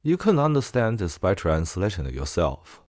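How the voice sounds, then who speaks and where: A man presenting a software tutorial in a casual way. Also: low-pitched, big pitch swings, normal speed, dry recording, clean studio sound.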